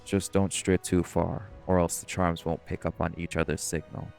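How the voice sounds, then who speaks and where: A man's voice: deep voice, little pitch movement, moderate pace, vocal fry, a little mellow, soft background music. A man playing a stern, protective shifter who leads a dive in an audio roleplay, speaking seriously and cautiously. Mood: serious, cautioning. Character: stern, protective male marine shifter, bossy diving leader. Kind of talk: scripted audio roleplay acting.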